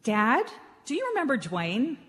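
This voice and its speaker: A woman's voice: fairly high pitch, wildly swooping pitch, normal speed, fairly bright tone. A woman playing a plucky, peacemaking daughter and single mom in a radio theatre parody. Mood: hopeful. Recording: reverberant hall stage recording.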